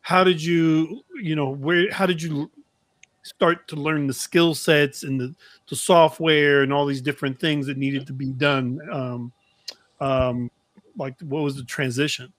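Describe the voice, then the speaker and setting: A man's voice: on the high side, fairly even intonation, a little slow, a bit bright, no echo. A middle-aged man interviewing a guest in casual conversation over a video call. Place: indoor room, video call.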